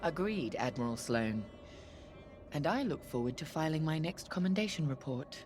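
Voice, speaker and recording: A woman playing a composed, stern squadron commander in a video game, measured and formal. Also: deep voice, lively intonation. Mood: proud. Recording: music behind.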